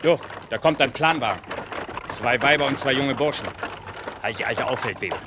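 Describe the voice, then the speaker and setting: An actor playing a wily army recruiter, persuasive and swaggering, in a German radio play. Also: male voice, medium pitch, moderate pace, background noise, close to an everyday voice. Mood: grumbling.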